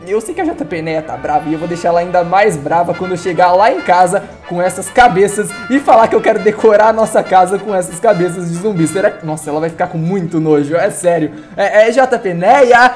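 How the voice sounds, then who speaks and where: A young man from Brazil, giving excitable, chatty gameplay commentary on a headset from his home gaming room, sounding congested from a cold. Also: sits high. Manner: excitable, chatty, addresses viewers. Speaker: gaming YouTuber.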